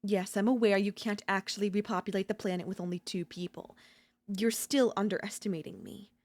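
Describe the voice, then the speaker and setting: A woman playing an obsessive, domineering yandere in a dark ASMR roleplay, seductive and smug, slow and teasing, slipping into whispers. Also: mid-range voice, steady tone, lightly acted. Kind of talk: dark ASMR audio roleplay, intimate dramatic acting.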